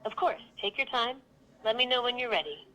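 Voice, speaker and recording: A woman's voice: fairly high pitch, lively intonation, a little fast, pushed and bright, poor audio. A synthetic woman's voice as a polite virtual assistant, giving scripted, neatly phrased customer service. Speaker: virtual phone receptionist.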